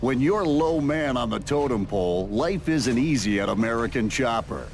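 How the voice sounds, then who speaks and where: A man's voice: medium pitch, lively intonation, normal speed, creaky, slight background noise. A man narrating a motorcycle workshop show in a scripted, dramatic style.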